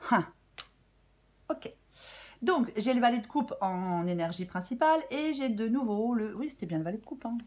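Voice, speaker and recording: A woman with a French accent, slightly hoarse, giving a casual tarot reading to viewers and thinking aloud with many fillers and restarts. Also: fairly high pitch, expressive, normal speed, darkish tone. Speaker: tarot reader.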